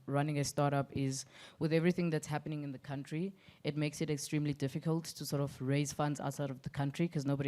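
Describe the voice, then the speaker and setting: Woman entrepreneur, flat earnest panel answer. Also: sits low. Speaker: panelist, startup founder.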